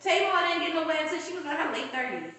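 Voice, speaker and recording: A woman co-hosting a casual podcast, gossiping loudly with lots of slang. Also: very high-pitched, quick pace. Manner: loud, animated gossiping with slang. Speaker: podcast co-host. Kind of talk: casual chat. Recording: echoey room from far off.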